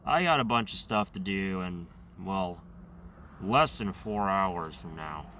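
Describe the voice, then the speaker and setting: Slow, raspy man rambling a bit off-mic. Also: sits low, lively intonation, pushed and bright, distant mic, slight background noise. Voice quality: raspy, slightly nasal. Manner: rambling, self-correcting. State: over-caffeinated, congested. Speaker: channel host. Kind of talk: casual monologue.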